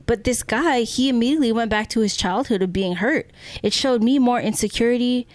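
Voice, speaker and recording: A woman's voice: on the high side, a little fast, no echo. A woman co-hosting a podcast, telling stories casually and reflectively, often checking in with the listener. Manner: reflective, often adds "you know" and "right?". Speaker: podcast co-host. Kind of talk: casual conversational storytelling.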